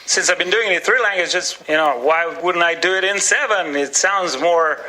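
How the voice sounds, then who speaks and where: A man from Slovenia, a stand-up comedian talking on a link from a small radio studio, easygoing and deadpan. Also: high voice, moderate pace, poor audio. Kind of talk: interview over a studio link.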